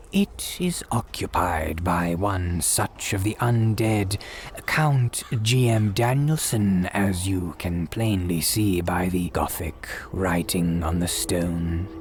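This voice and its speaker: An eerie old graveyard priest in a gothic radio drama. The voice is low and extremely slow, courtly and ominous, sinking at times into whispers. Faint ambient noise sits behind it. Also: male voice. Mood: macabre, courtly. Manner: slow, ominous, formal archaic phrasing, dropping into whispers. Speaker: horror tale host.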